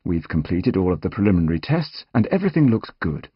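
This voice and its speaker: A man voicing a reassuring female doctor in an audiobook, speaking deliberately and politely matter-of-fact. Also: sits low, very animated, slightly rough, dry recording, dull audio, full character voice. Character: reassuring female doctor, calmly delivering strange news.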